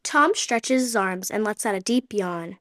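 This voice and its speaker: A woman's voice: medium pitch, lively intonation, a little slow, fairly bright tone, no echo. Woman narrating stage directions, dry sound.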